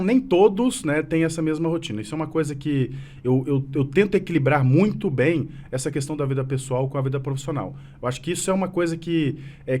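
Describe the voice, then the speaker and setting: A man's voice: on the high side, darkish tone. Earnest mineiro man in his thirties. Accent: mineiro Brazilian Portuguese, fading. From Minas Gerais, Brazil. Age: 30s. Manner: earnest, rambling with many restarts and fillers. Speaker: business association president, guest. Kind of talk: casual interview conversation.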